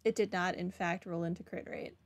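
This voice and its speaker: A woman's voice: fairly low pitch, fairly even intonation, a little fast. A woman giving casual playthrough commentary as a game streamer, with dry, muttered asides. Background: game music.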